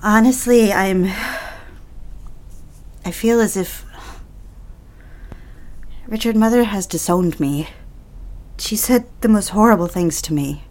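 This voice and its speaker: An actress playing an anxious young secretary who speaks hesitantly and softly in an audio drama. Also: female voice, medium pitch, slow pace, long pauses, lightly acted. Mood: troubled. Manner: hesitant, troubled, soft.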